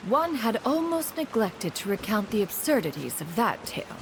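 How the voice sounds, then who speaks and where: A woman's voice: mid-range voice, very animated, moderate pace, gravelly, noisy background. A woman voicing an ancient, composed crane adeptus who speaks formally of herself as "one", as a mentor recounting the past, in a video game with music behind. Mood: measured.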